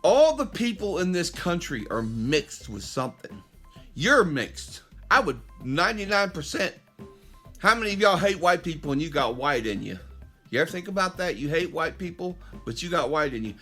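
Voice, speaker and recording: A fired-up middle-aged man with a drawl, his voice bright and a touch raised. He lectures his listeners directly, stumbling and restarting as he pushes his point. Faint music runs under his voice. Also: fairly high pitch, sing-song, normal speed. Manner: impassioned, preachy, addresses listeners directly, frequent restarts. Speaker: podcast host. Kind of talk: opinionated monologue.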